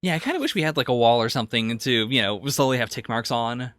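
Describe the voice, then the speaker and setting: A man's voice: mid-range voice, expressive, fast, a bit bright, no room sound, studio quality. A man co-hosting a podcast, casually discussing anime in conversation. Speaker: podcast host.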